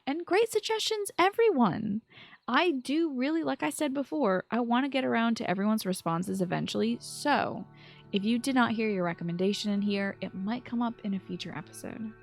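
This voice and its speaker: A woman's voice: a little high, normal speed, vocal fry, soft background music. A woman hosting a video game podcast, speaking in an informal, enthusiastic way that mixes chat with scripted commentary. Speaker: podcast host.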